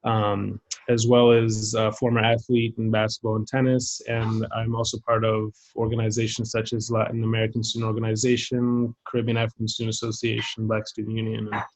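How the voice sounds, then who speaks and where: A young man from Maryland and Haiti, introducing himself in a measured way with many "um"s as a student panelist on a video call. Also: lowish voice, completely flat delivery, rough recording. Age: young adult. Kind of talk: casual self-introduction.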